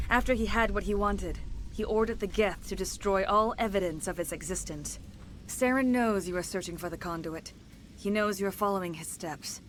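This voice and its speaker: A woman playing a solemn, serene alien woman in a video game, speaking in a measured, formal, earnest way. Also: medium pitch, a little hiss or hum, natural voice. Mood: grave, regretful. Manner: measured, formal, earnest, hushed at phrase ends.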